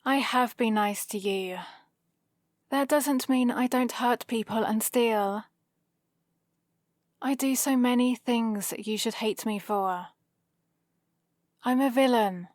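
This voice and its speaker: A female supervillain played by a woman with a British accent and a fairly high voice. She speaks very slowly, hesitant and defensive, with a soft exasperation that betrays her care for the hero. It is an ASMR roleplay, recorded dry with no room sound. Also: no room sound. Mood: grudging, exasperated.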